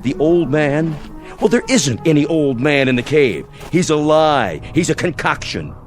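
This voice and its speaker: A cocky, sneering military major. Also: male voice, fairly high pitch, animated, a little slow. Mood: taunting. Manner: sarcastic, taunting, theatrical. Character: cocky, mocking military major, a swaggering intruder. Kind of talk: radio drama acting. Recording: radio drama with music underneath.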